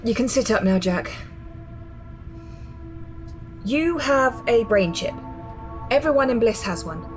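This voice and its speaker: A woman's voice: a little high, lively intonation, moderate pace, soft background music, close to an everyday voice. A woman playing a composed resistance medic in a radio drama, explaining things in a blunt, matter-of-fact way. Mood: blunt, sober.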